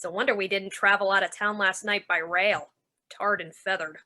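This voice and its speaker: Woman acting a bright-voiced tough carnival boss. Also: mid-range voice, fairly even intonation, normal speed, natural voice. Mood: guarded, businesslike. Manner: brusque, slangy, needling. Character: tough, suspicious carnival general manager. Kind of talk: old-time radio noir acting.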